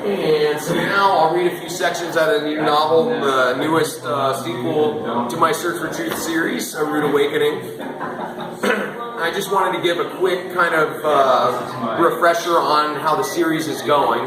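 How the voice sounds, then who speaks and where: A man, an author with a voice going hoarse, talking casually about his book series, often clearing his throat and trailing off with fillers. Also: a little high, measured pace. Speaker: author giving a reading. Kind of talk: reading aloud, then casual talk about his books. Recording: roomy echo with crowd behind.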